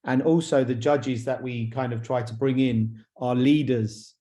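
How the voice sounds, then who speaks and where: Casting: male interviewer, dark mellow voice, mid pitch, tentative and conversational, hosting a casual online chat. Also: steady tone, measured pace. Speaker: interviewer, awards chair. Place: video call.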